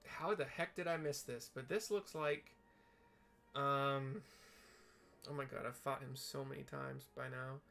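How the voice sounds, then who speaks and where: A man's voice: medium pitch, fairly even intonation, a little slow, creaky, a bit bright. A man giving casual, rambling game commentary with spoken scene descriptions and joking asides. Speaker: video game streamer.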